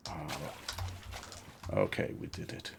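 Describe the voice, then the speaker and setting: A man's voice: slow, noisy background. A man with a German accent streaming a survival game, chatting easily with viewers and thinking aloud as he plays. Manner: easygoing, thinks aloud, reacts to the game while talking to chat. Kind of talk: live gameplay commentary, chatting with viewers.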